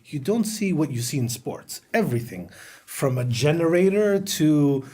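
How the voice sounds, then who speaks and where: A middle-aged man who grew up in Greece, a podcast guest telling anecdotes in a relaxed interview chat. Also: a little high, normal speed, slightly rough. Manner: storytelling, anecdotal, laughs while talking.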